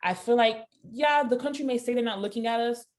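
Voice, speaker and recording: A woman's voice: a little high, a little fast. A young woman from Haiti, a guest on a video call, speaking emphatically and repeating her points for stress. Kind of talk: casual conversation on a video call. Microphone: video call webcam.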